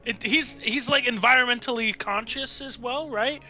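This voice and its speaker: High-pitched, bright-voiced man improvising haltingly. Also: soft background music. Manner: halting, hesitant delivery with tongue-in-cheek humor. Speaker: player in a tabletop roleplaying game. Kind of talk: improvised casual character description.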